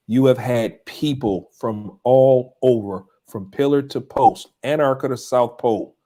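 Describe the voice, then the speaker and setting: A man's voice: mid-range voice, a little mellow. A man on a live stream giving a heartfelt, earnest tribute to his friend and mentor, often repeating himself as he searches for words.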